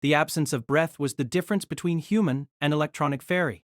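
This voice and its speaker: A woman's voice: low voice, quick pace, no echo, clean studio sound. A woman narrating a scripted, dramatized story as its narrator.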